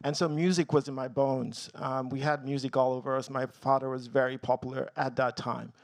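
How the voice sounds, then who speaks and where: A man in his forties from Nigeria, telling his career story on a panel, earnest, with many pauses and ums, getting a little emotional. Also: mid-range voice, level tone, gravelly, dry recording. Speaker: tech team leader on a panel.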